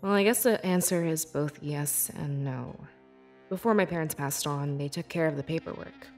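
A woman playing a young, devoted beast-folk scribe in a fantasy video game, with earnest, measured and expressive delivery. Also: low voice, soft background music. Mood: reflective, sincere. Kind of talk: scripted video game character dialogue.

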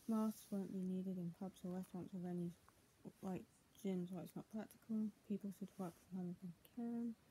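A woman's voice: on the low side, fairly even intonation, moderate pace, mellow. A young adult woman reading news text aloud in a recited way with small stumbles, recorded on a tablet in a small bedroom. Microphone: tablet's built-in mic at arm's length. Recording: clearly noisy, muffled home tablet recording.

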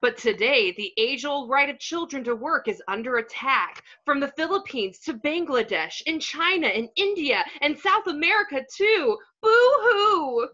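Sugary propagandist child played by a woman, childish sing-song, very animated, comedy table read, muffled call audio. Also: sits high, unhurried, few pauses, full character voice. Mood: mock tearful. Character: fake little kid in curls, sugary propagandist.

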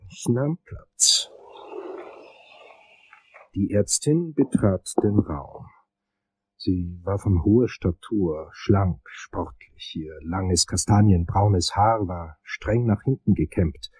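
An actor playing a wry, anxious male investigator who narrates a crime radio play in a deliberate, sardonic way. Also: low-pitched, expressive, darkish tone, husky, no room sound, heavily acted, rough recording. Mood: sardonic observation.

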